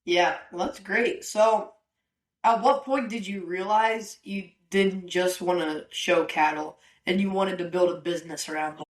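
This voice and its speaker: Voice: medium pitch, steady tone, a little slow. A host asking short, upbeat interview questions in a casual way.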